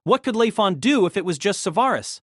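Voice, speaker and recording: High-pitched man narrating a story. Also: expressive, fairly quick, a bit bright, no echo, clean studio sound.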